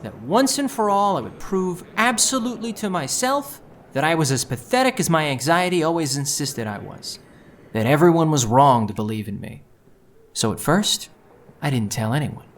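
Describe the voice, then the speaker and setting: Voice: mid-range voice, expressive, normal speed, a little hiss or hum, close to an everyday voice. An actor playing an anxious, self-doubting, idealistic young thief who narrates their own story earnestly and intensely in an audio drama. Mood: earnest, self-mocking.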